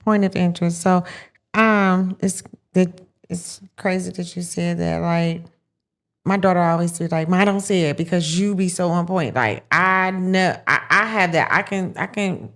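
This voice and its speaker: Woman, relaxed, slightly low voice; easygoing guest. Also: measured pace. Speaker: podcast guest. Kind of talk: casual chat.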